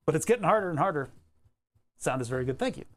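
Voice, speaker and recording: A fairly high-voiced man rambles through a relaxed live stream, chuckling now and then as he walks through his setup. He speaks into a lapel mic clipped on in his home workshop. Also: quick pace. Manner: easygoing, chuckles often, talks through his screen setup. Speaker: livestreamer.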